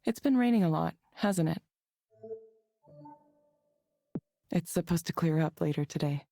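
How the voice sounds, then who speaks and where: An actress playing a calm, measured counselor in a visual novel game, asking gently probing questions in an even, neutral manner. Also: female voice, lowish voice, vocal fry, no echo, clean studio sound. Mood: polite.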